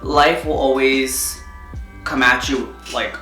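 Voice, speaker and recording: A man hosts a makeup tutorial, calmly explaining each step as he goes and chatting easily with his guest. His voice sounds roomy, as if recorded in an echoing room at home, with music clearly playing behind him. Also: medium pitch, slow. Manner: steady, explanatory, walks through each step. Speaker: beauty vlogger host. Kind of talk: makeup tutorial and casual chat. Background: background music.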